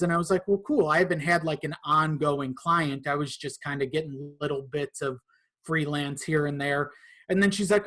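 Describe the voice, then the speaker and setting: A man chatting casually as a podcast guest, in a halting, amused manner. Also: a little high, a bit bright. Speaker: podcast guest, video editor. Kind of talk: casual chat.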